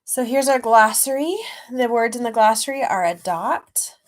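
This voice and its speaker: A woman reading a children's storybook aloud expressively and gently, with chatty asides to young listeners. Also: fairly high pitch, normal speed. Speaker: children's author reading own book aloud.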